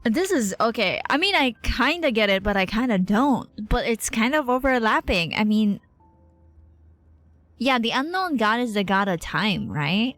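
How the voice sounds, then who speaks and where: A woman game streamer chatting casually live, thinking out loud, exclaiming and swearing freely. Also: fairly high pitch, very animated, unhurried, dry recording. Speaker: streamer reacting to a role-playing game. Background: game music behind the voice.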